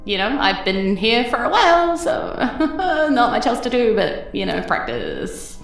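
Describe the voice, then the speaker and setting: A woman acting as a cursed guardian of the clouds in a tabletop game, sad and eager to please. She speaks awkwardly and haltingly over music, playing herself down. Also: fairly high pitch, wildly swooping pitch, echoey room, voice pushed up, full character voice. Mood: awkward, offhand. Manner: halting, awkward, self-effacing.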